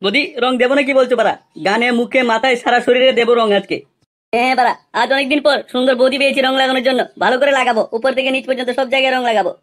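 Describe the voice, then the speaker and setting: Woman acting brash young troublemaker, cartoon comedy, rapid-fire, slangy, insistent, highish pitch. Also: steady tone, lightly acted. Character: cheeky, brash young male village troublemakers.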